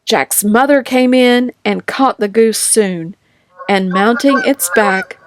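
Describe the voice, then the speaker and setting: A woman reading nursery rhymes aloud from a picture book in a measured, sing-song manner. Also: on the high side, dry recording. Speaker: storybook reader.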